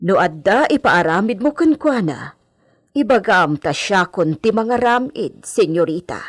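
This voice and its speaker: Fretful Ilocano maidservant, slow swooping voice. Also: female voice, mid-range voice, lively intonation. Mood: meek, hesitant. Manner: hesitant, fretful, pleading. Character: anxious, deferential married maidservant of the villa. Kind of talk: Ilocano radio drama acting.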